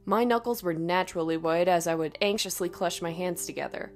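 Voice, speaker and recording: A woman narrating a scripted first-person horror story in a dramatic, expressive way. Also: on the low side, a little fast, faint music behind.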